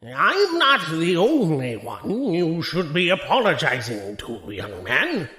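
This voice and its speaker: An actor playing a kindly, mild older professor in an audio drama, halting and gently reproving. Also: male voice, a little high, huge pitch swings, heavily acted. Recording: echoey room sound.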